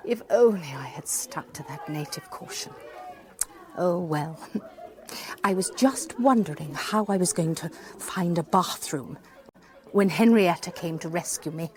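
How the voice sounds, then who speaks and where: A woman's voice: fairly low pitch, animated, a little slow, noisy background. An actress playing a wry, self-deprecating middle-aged British lady psychologist, narrating a radio drama in a chatty, confiding way. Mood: chatty, amused. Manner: chatty, dryly humorous, confiding.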